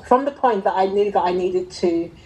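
A middle-aged woman telling her own story in an interview, talking conversationally in a reflective, halting way and often rephrasing. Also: mid-range voice, warm tone, rough recording. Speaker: interviewee, fashion entrepreneur.

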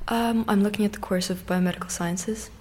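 A woman in her late teens from Ireland and Russia, answering interview questions conversationally and pausing to find her words. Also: mid-range voice, fairly even intonation, a little mellow, a little hiss or hum. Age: teens, around 17. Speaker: interview guest, competitive figure skater.

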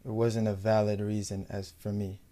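Low, mellow young American man, even delivery. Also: normal speed. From United States. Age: young adult. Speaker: professional footballer being interviewed. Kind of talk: casual sports interview.

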